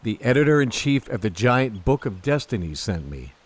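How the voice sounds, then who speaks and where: A man's voice: medium pitch, very animated, moderate pace, breathy edge. A man playing an earnest, persuasive space adventurer hero in a sci-fi comedy audio drama, speaking with dramatic sincerity. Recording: music behind the voice. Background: music.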